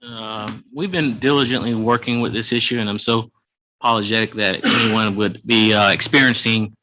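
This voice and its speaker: A police chief addresses a city commission, his voice sitting on the low side with a bright, forceful edge. He speaks earnestly and formally, aiming to reassure. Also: fairly even intonation, normal speed, slight background noise, low-quality recording. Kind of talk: reporting at a public meeting.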